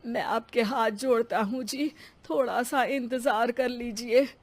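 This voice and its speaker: A humble father of the bride played by a woman in a cartoon tale. The voice sits fairly high, anxious and deferential, always pleading. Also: fairly even intonation, measured pace, no echo. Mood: anxious, begging.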